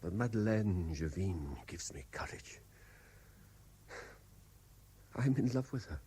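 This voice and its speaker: An actor with a British accent playing a lonely, self-doubting bachelor in a 1970s radio drama, hesitant and solicitous. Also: male voice, sits low, lively intonation, moderate pace, mellow. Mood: confiding. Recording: noisy 1970s radio broadcast recording.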